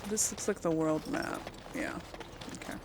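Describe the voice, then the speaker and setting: A woman's voice: sits low, sing-song, normal speed, background noise. A woman giving casual gameplay commentary, thinking aloud and rambling as she plays. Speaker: gaming video host and player. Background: game ambience.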